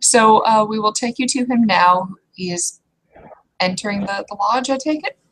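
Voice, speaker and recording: A woman narrating a tabletop roleplaying game as game master in a halting, conversational, improvised way. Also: mid-range voice, a bit bright, low-quality recording. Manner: halting, conversational, piecing descriptions together. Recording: clear background noise.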